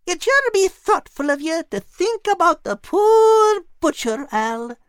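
Narrator doing a very high sarcastic female animal. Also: very animated, a little slow, a bit bright, dry recording, exaggerated voice. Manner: sarcastic, folksy dialect.

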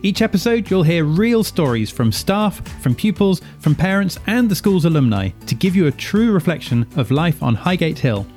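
A man's voice: a little high, sing-song, normal speed, few pauses, a little mellow, faint music behind. A man reading a scripted podcast intro in an upbeat announcer style.

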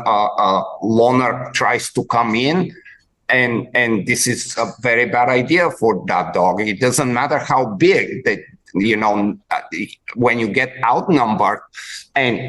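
A man with a Bulgarian accent hosting a podcast interview, talking haltingly with many restarts as he searches for words. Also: medium pitch, fairly bright tone, rough recording. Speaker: podcast host, dog trainer. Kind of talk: conversational interview.

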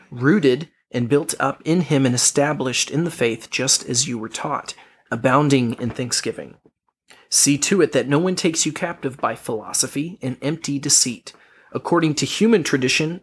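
Guy cohosting a podcast, a bit husky, reads bible verses slow and earnest, some restarts. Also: mid-range voice. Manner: earnest, measured reading with restarts. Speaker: podcast co-host. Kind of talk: reading and explaining Bible verses.

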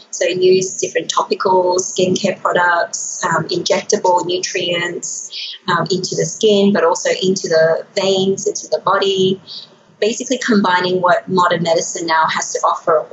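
A middle-aged woman, a native Burmese speaker who grew up in Hong Kong and Australia, talking conversationally as an interview guest in a slightly Australian accent. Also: lowish voice. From born in Myanmar, grew up in Hong Kong and Australia. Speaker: guest, anti-aging physician. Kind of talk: conversational interview answers about own career. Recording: roomy echo, dull sound, faint noise.

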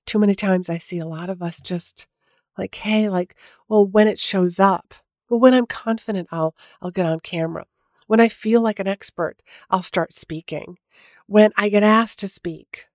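A woman's voice: mid-range voice, no room sound, studio quality. A middle-aged woman hosting a solo podcast as a coach, sounding nasal from a head cold, talking casually and encouragingly with lots of "like"s. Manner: casual, encouraging, many filler "like"s.

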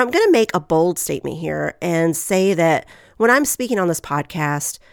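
A woman hosts a faith podcast in an earnest, encouraging way, her voice nasal from a lingering head cold. There is a gravelly rasp under her somewhat low voice. The recording is close and dry, with no room sound. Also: no echo. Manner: earnest, encouraging, reads scripture aloud. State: getting over a head cold. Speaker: podcast host.

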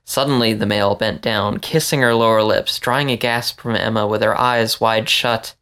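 A man with a creaky voice reading a story aloud as scripted narration. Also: fairly low pitch, steady tone, normal speed, a bit bright. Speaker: story reader.

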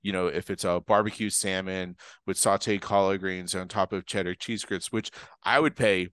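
Low-voiced chef guy from boston, chatty and rambly, dead dry mic. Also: fairly even intonation, moderate pace, fairly bright tone, clear, resonant tone, dry recording. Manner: enthusiastic, rambling with restarts and fillers. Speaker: chef guest. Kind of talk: casual interview chat.

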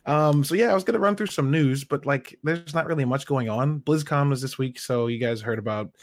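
A man's voice: mid-range voice, fairly quick, no room sound, studio quality. A man hosting a casual gaming podcast, joking and bantering with friends. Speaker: podcast host.

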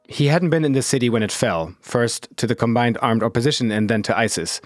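A man's voice: medium pitch, no room sound. Dry-sounding male podcast host. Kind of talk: interviewing and scripted narration.

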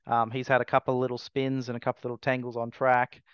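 A man's voice: medium pitch, monotone, quick pace, clean tone, no echo, dull audio. A man, answering interview questions as a racing team owner in a hesitant way, full of fillers and restarts.

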